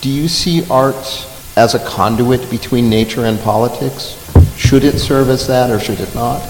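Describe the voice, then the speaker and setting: A man moderating a live panel, framing questions in deliberate, searching phrases. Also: mid-range voice, noisy background. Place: large hall, live panel. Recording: echoey hall with long reverb.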